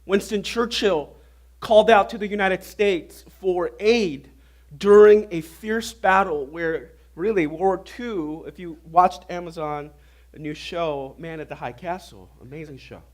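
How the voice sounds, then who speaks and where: A man preaching a sermon as pastor, his voice hoarse and raspy from a recent flu, asking the audience questions as he goes. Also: a little slow. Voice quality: normally deep voice, now raspy. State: hoarse, getting over a flu. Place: church hall before an audience.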